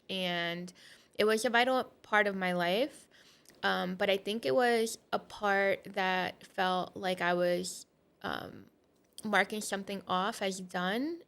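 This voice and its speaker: A woman in her thirties, originally from Portugal, speaks as a podcast guest in a mid-range voice. She tells her faith story in a candid, reflective way and often pauses on "um" and "like". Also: mid-range voice, fairly bright tone, smooth. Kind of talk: personal testimony in conversation.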